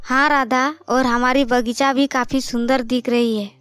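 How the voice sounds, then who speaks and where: A woman's voice: high-pitched, level tone, unhurried, a bit bright, dry recording, faint music behind. A woman voicing an earnest, responsible poor elder sister in a cartoon. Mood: content.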